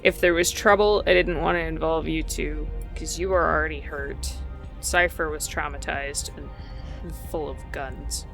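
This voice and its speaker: A woman's voice: deep voice, steady tone, measured pace, gravelly. A woman improvising in-character dialogue as a tabletop role-player, in a colloquial, rambling way. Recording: music bed behind the voice. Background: background music.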